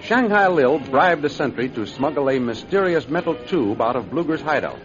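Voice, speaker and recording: A man's voice: mid-range voice, very animated, moderate pace, music in the background. A man announcing a 1930s radio serial in formal, declamatory scripted narration. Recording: dull old radio recording.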